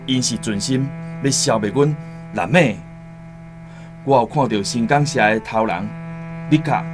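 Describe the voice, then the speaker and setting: An actor playing a bitter, vengeful island survivor in a radio drama, grave and halting, with a Taiwanese accent. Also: male voice, mid-range voice, lightly acted. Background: faint guitar music.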